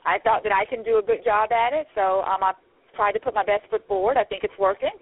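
A woman's voice: medium pitch, steady tone, fast talker. A woman in her forties, a pageant founder, chatting upbeat as a guest with many "um"s. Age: 40s. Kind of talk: casual interview over the phone. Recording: tinny phone line.

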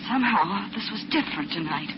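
An actress playing an anxious, earnest studio employee in a 1940s radio drama. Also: female voice, medium pitch, animated, brisk, lightly acted. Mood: worried, urgent. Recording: noisy, muffled old-time radio recording.

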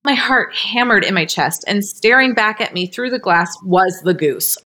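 A woman reading a story aloud as a podcast host, informal and expressive, ready to step out of the narration for asides. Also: mid-range voice, moderate pace, a little hiss or hum. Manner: expressive, breaks character, giggly slips and asides.

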